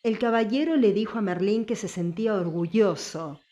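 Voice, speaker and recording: A woman's voice: mid-range voice, normal speed, warm tone. A woman reading scripted story narration as an audiobook narrator.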